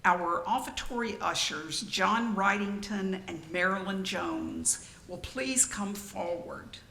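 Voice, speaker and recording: A woman leading a church service, reading scripted announcements with formal, deliberate phrasing in an echoey room. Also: gravelly, bright, forceful tone. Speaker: worship leader. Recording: echoey room, faint rumbling noise.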